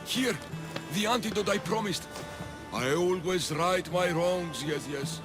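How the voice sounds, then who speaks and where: A man's voice: sits high, a little slow, over music. An actor playing a dying, grief-stricken male physician in a video game, with a weak, pained delivery. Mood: resigned. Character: dying male physician, grieving his slain pets, pleading. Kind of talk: dramatic video game acting.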